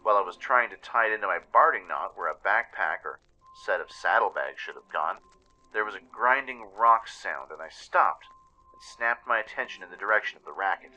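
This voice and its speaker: Forceful low-voiced man narrating a radio play. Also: expressive, a little fast, rough recording. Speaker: narrator and producer. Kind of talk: dramatic scripted story narration.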